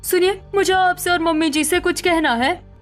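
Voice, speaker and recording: A woman's voice: very high voice, normal speed, soft background music. A woman playing a defiant, indignant young daughter-in-law in a cartoon family drama, speaking assertively. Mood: firm. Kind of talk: Hindi cartoon story dialogue.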